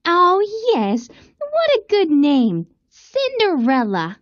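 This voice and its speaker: Spiteful, haughty young storybook villainess, woman acting, slow drawn-out sneer, lo-fi. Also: high voice, wildly swooping pitch, dry recording, full character voice. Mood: mocking. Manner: bossy, sneering.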